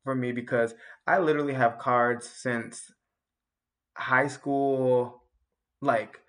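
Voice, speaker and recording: A man's voice: mid-range voice, fairly even intonation, slow, a bit bright. A man co-hosting a casual podcast chat, reflective and rambling, often restarting his sentences.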